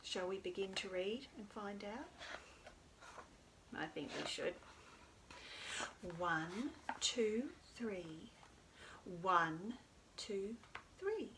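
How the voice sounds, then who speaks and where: A woman's voice: mid-range voice, lively intonation, very slow, long pauses, noisy background. A woman hosts a storytime for young children, speaking in an encouraging, sing-song way with simple phrases. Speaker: children's storytime host at a library.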